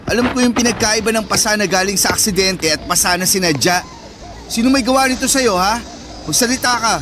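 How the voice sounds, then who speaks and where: A man's voice: sits high, fairly quick, crowd noise, noisy background, lightly acted. A man with a Filipino accent playing a protective young older brother in a radio drama, emotional and pleading. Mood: alarmed, demanding. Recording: music bed under dialogue. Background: background music.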